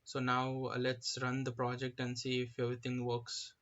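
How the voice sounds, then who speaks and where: Man giving halting software walkthrough, very animated pitch. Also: lo-fi sound. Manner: halting, hesitant, step-by-step instruction. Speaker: software tutorial presenter. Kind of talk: informal screen-share software walkthrough.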